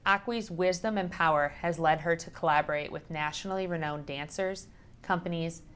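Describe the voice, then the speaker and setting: Casting: a low-pitched woman executive, polished and formal, introducing a speaker. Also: normal speed, fairly bright tone, smooth.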